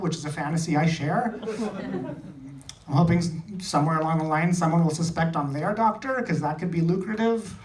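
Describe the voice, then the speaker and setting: A man from India performing a stand-up comedy routine, delivering short phrases with a dry, self-deprecating manner. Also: on the high side. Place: small comedy theater, on stage before an audience. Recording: echoey club, distant mic, loud noise.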